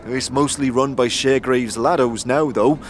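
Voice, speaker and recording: A man's voice: medium pitch, fairly even intonation, normal speed, soft background music, close to an everyday voice. A man playing a cheery, chatty ragged corpse collector in an audio drama, upbeat, rambling and slangy. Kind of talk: audio drama character acting.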